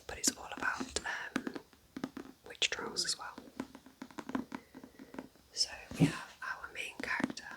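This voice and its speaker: A woman whispering breathily and gently in an unhurried, chatty ASMR style, as a kind witch recommending books. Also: poor audio. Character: kind witch welcoming a visitor to her cabin. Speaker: ASMR roleplay host.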